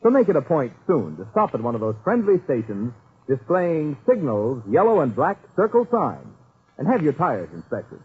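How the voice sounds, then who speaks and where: A man's voice: a little high, wildly swooping pitch, normal speed, breathy edge. A man working as a 1940s radio announcer, reading commercials and credits with polished, emphatic salesmanship. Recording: tinny vintage radio, faint music.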